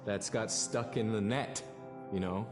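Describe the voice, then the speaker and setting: A low male voice in a long reverb, with music playing behind. He speaks as a storyteller in a documentary interview, choosing phrases thoughtfully with short pauses.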